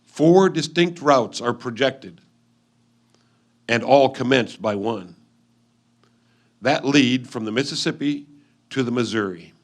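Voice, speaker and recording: Guy acting an 1850s husband writing home from out west, mid voice, observant, old-timey measured reading. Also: mid-range voice. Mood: impressed, keen. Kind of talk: dramatic reading of an old letter, reader's theatre.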